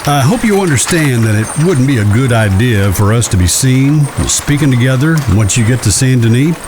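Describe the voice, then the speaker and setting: An actor in an audio drama playing a discreet Old West judge, speaking in a formal, careful, courteous way. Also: male voice, medium pitch, animated, normal speed, noisy background, close to an everyday voice. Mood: cautioning, courteous.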